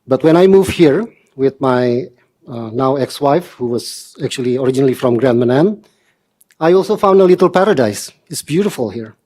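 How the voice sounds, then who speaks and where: Man from indonesia, forties, earnest measured delivery, formal public statement, mid-pitched voice. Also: expressive, warm tone. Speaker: public speaker at council meeting.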